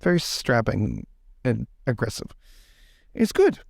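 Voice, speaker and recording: Polite, placating gentleman lord, tabletop game role, played by a man, chattering, lively swings in pitch, slightly gravelly. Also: slow pace, dry recording, clean studio sound, full character voice. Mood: flustered. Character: dapper, polite, flustered gentleman lord who likes a scrap.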